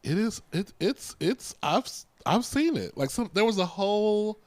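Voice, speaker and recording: A man's voice: sing-song, moderate pace, no echo. Playful man co-hosting a podcast. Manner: playful, teasing, jumps in pitch. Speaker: podcast co-host. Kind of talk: casual chat.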